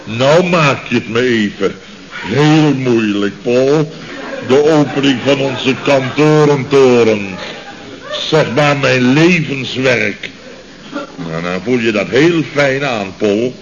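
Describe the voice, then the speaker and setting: An actor playing a blustering, pompous middle-aged boss of a building firm in a radio comedy serial, stammering and repeating himself when flustered. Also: male voice, mid-range voice, takes it slowly, crowd noise. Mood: moved, grandiose. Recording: noisy, dull vintage radio recording.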